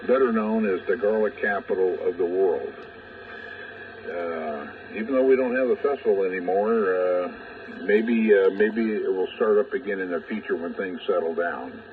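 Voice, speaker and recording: A lowish-voiced American man talks chattily as a ham radio operator. His voice comes through a narrow, phone-like radio channel with a little static behind it. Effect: heard over ham radio. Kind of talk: chatty on-air radio contact with phonetic spelling. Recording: muffled narrow radio audio, faint static. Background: faint radio noise.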